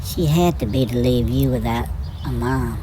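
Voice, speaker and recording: A woman's voice: very deep voice, normal speed, dark tone, slight background noise. A woman playing a curious, caring young son in a hobby audio drama, earnest and full of questions.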